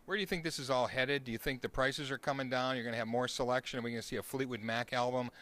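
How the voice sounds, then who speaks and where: An American man works as an interviewer for a web show. His pitch sits in the middle range for a man, and he puts questions conversationally on location. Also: fairly even intonation, fast, a bit bright, dry recording. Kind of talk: on-location interview with upbeat sign-on.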